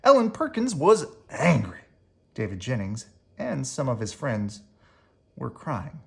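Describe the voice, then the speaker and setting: A storyteller reading a children's book aloud in an expressive, animated way, leaning on words for dramatic emphasis. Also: medium pitch, a little slow, creaky. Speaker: storybook reader.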